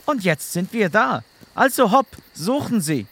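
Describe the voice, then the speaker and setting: A man's voice: sits high, big pitch swings, a little slow, vocal fry, a bit bright, full character voice. A man playing a hungover, sardonic police inspector in a comedic audio drama, groaning and curt. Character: hungover, irritable, hard-drinking male police inspector, sardonic.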